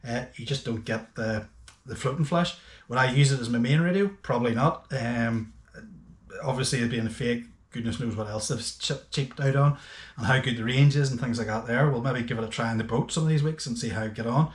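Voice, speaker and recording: Casting: male reviewer, mid-pitched, chatty and matter-of-fact with hesitant phrasing, recorded in a roomy, echoey space. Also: fairly quick. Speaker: host of a boating gadget review channel. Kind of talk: casual unscripted product review.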